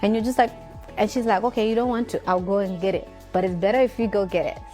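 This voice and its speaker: A woman telling personal stories in an interview, expressive and quoting relatives. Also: medium pitch, quick pace, faint music behind. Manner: expressive, quotes relatives in character.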